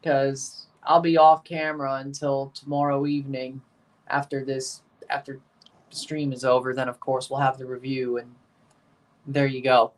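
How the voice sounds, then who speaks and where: A man hosting a livestream watchalong, calling the soccer match like a commentator and throwing dry asides to his chat. His voice is a little hoarse. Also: medium pitch, little pitch movement, moderate pace. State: slightly hoarse. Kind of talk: live match reaction and play-by-play.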